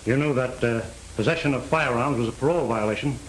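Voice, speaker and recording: A middle-aged American actor playing a stern, matter-of-fact parole officer, curt and authoritative, in a 1930s crime film. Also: male voice, medium pitch, quick pace, close to an everyday voice, rough recording. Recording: hissy 1930s film soundtrack.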